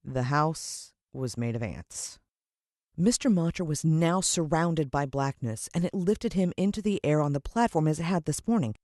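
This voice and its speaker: An American woman narrating a children's adventure story in an expressive, suspenseful storytelling style. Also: deep voice, normal speed, dry recording, clean studio sound. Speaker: audiobook narrator.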